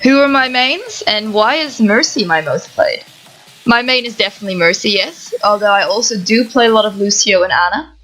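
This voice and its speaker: A young woman from the Netherlands with a Dutch accent, calmly reading out answers to viewer questions for her gaming channel. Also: medium pitch, moderate pace, faint music behind, rough recording. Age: young adult. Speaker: gaming YouTuber. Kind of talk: reading Q&A answers to camera, no face cam. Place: home setup, voice-over without camera.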